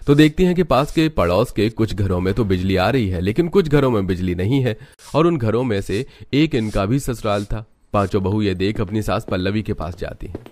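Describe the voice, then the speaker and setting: Low-voiced male cartoon story narrator. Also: lively intonation, brisk, a little mellow, no echo.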